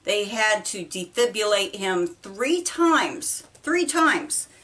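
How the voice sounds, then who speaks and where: A middle-aged woman with a Midwestern American accent, chatting casually while she folds laundry, talking haltingly with many ums and restarts. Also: fairly low pitch, a bit bright. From United States. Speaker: vlogger. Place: small indoor laundry room. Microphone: camera set apart from her. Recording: voice a bit far from mic.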